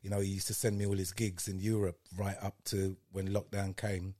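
An older man from Guyana, telling stories as a podcast guest in a warm, devout way. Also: sits low, level tone, fairly quick. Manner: warm, storytelling, devout greetings. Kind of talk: casual interview.

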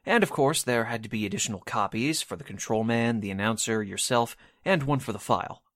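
A man's voice: mid-range voice, fairly quick, fairly bright tone, close to an everyday voice. A man playing an affable, efficient 1940s radio station employee in a radio drama, explaining things in a businesslike way. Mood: explanatory.

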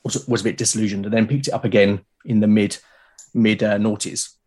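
A man's voice: on the low side, level tone, a little fast, darkish tone. Middle-aged southern English man, hesitant and reflective. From South London, UK. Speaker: interview guest, artist. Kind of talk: casual conversational storytelling.

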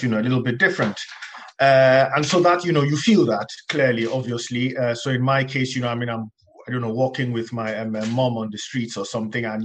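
A man's voice: mid-range voice, a little hiss or hum. A man from Nigeria, a guest author, answering interview questions reflectively with many fillers and restarts.

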